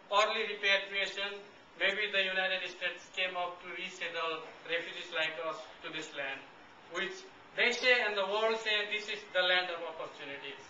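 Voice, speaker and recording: Earnest man, bright tense voice. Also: high-pitched, slight background noise. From Bhutan. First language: Nepali. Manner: earnest, halting, repeats phrases. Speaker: refugee guest speaker. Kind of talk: public talk. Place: meeting room, indoors. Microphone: far camcorder. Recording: echoey, distant, dull camcorder audio.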